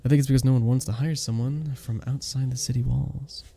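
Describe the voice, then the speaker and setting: A man voicing a charming, modest vampire bartender in a soft-spoken ASMR roleplay, with a gentle, polite and slightly formal manner. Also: medium pitch, steady tone, fairly quick, vocal fry, lightly acted. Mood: modest, amiable. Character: charming, sweet, modest young-looking male vampire bartender. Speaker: voice actor in an audio roleplay.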